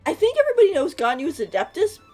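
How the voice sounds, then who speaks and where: A woman's voice: very high-pitched, sing-song, racing, darkish tone, soft background music. A woman streaming a game with casual live commentary, informal and gushing as she reacts.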